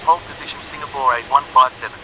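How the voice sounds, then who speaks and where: Man acting as rapid, businesslike airport radio operator. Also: medium pitch, close to an everyday voice. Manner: formal, scripted, impersonal. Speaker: airline pilot and air traffic controller. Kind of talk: clipped procedural aviation radio phraseology. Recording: hissy radio audio with aircraft noise.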